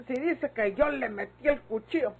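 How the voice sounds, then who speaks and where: Frightened husky young country woman. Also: sits high, normal speed. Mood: tearful fear. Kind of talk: old Mexican radio drama acting.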